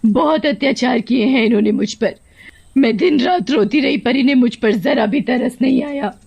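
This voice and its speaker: Casting: woman to play wronged wife turned cartoon witch, high-voiced, weepy, bitter, menacing. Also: moderate pace, vocal fry, a little mellow, breathy edge, muffled recording, exaggerated voice. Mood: tearful, aggrieved. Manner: tearful, aggrieved, threatening.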